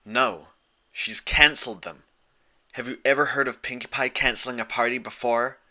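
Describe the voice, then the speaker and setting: A man's voice: mid-range voice, fairly even intonation, normal speed, sharp tone. A man voicing an earnest, exasperated young female unicorn pony in a storybook reading, insistent and pleading. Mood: stern, exasperated.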